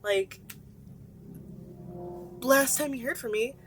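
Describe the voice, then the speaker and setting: A young adult woman speaking as an amateur podfic reader, in casual chat and expressive story narration. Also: sits high, slow, soft background music.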